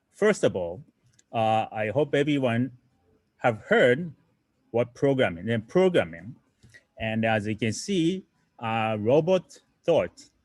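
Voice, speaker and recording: A man from Japan, a native Japanese speaker, gives an online programming lesson in English. His pitch is lively, and he keeps asking the audience questions and correcting himself as he explains. The recording is dry and close. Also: no room sound. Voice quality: hesitant, frequent fillers and self-corrections. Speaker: coding teacher.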